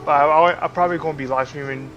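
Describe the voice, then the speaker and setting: A man livestreaming a game with casual commentary, halting as he thinks aloud. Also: a little high, fairly even intonation, highs cut off. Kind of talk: casual live gameplay commentary. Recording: loud game music behind voice. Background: video game music.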